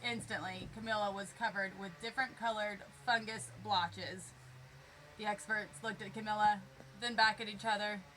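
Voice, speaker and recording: A woman reading a children's picture book aloud, expressive and emphatic, drawing out words for effect. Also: mid-range voice, normal speed, slight background noise, low-quality recording.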